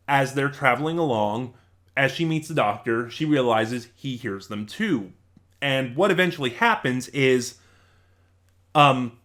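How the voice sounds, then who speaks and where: A man's voice: medium pitch, a bit bright. Male reviewer, mid-range voice, casual and conversational, loosely rambling delivery with self-corrections. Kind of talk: casual spoken audio drama review.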